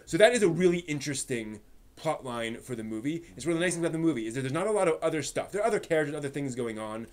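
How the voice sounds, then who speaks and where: A man with a voice on the higher side hosts a casual review stream. He explains things conversationally, doubling back on words and tossing in emphatic asides. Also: lively intonation, rapid-fire. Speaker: host reviewing anime on a live stream.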